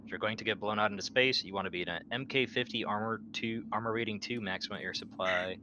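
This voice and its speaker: Lowish guy playing captain in a tabletop rpg, jokey and casual, noisy background. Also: fairly even intonation, fast, lo-fi sound. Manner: joking, sarcastic, swearing. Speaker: player as ship's captain. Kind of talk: casual tabletop roleplay chat. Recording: clear background noise.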